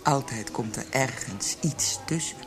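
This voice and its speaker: An actress playing a blunt, cynical young bar prostitute in a radio play. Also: female voice, extremely low pitch, unhurried, faint music behind. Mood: teasing. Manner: teasing, blunt.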